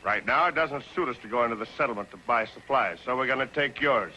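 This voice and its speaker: An actor playing a cold, mocking outlaw, curt and menacing, in an old television western. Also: male voice, medium pitch, quick pace, pushed and bright, slight background noise, low-quality recording. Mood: cold, controlled. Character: cold, mocking male outlaw claim-jumper. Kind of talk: 1950s television western acting. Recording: old TV soundtrack with music behind.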